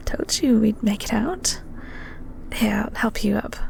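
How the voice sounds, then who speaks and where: A woman's voice: on the high side, heavily acted. Woman acting as a whispering, flirty vampire co-worker. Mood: flirty, coy. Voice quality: soft, breathy, whispery. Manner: teasing, coy, unhurried. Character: flirty, teasing vampire woman, office co-worker, calmly soothing. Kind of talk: intimate audio roleplay, whispered ASMR-like acting.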